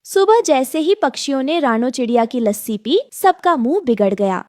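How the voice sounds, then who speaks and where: A woman's voice: high-pitched, expressive, moderate pace, a little mellow, dry recording, studio quality. A woman narrating a scripted moral story for a cartoon as its storyteller.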